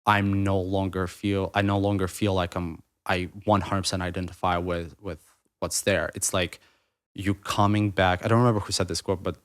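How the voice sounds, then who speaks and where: Deep-voiced man, slight foreign lilt in English. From Ukraine. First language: Russian. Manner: rambling, restarts mid-thought, many fillers. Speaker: podcast guest, writer. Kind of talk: reflective conversational interview.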